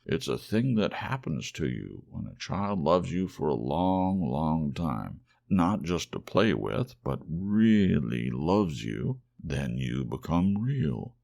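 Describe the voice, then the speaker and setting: Wise old toy horse for a storybook, man performing: deep, gravelly, patient, kindly. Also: big pitch swings, darkish tone, full character voice. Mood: gentle, wise. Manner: slow, patient, reassuring.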